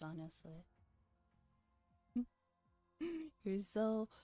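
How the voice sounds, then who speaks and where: A woman's voice: mid-range voice, mellow, no room sound. A young woman livestreamer chatting casually to her viewers in an informal, rambling, teasing and giggly manner, on a close microphone.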